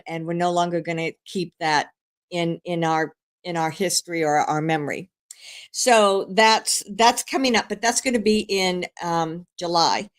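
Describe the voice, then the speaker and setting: An older woman, a grandmother, hosting a live stream in rambling, casual chat, hoarse from a cold, with many fillers and restarts. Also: medium pitch.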